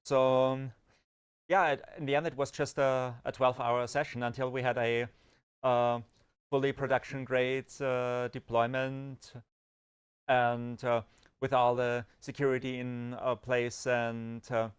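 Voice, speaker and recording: A man's voice: mid-range voice, flat delivery, unhurried, clean tone. A man on a casual livestream talking at length about technical work, full of fillers and restarts. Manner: rambling technical talk, many fillers and restarts. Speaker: developer and podcast co-host.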